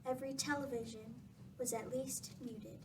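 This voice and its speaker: A woman's voice: a little high, fairly even intonation, moderate pace, recorded from a distance, natural voice. A woman delivering scripted, dramatic narration for a radio play. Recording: noisy, off-mic.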